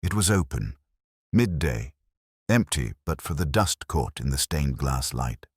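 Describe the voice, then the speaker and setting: A man narrating a scripted first-person detective story in a measured, reflective, literary manner. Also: a bass voice, very animated, breathy edge, no echo, studio quality.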